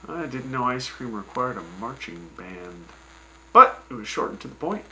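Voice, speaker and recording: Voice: medium pitch, sing-song, normal speed, pushed and bright, slight background noise, low-quality recording. Cav, a performer doing a wry radio host. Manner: wry, teasing. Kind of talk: fan radio drama.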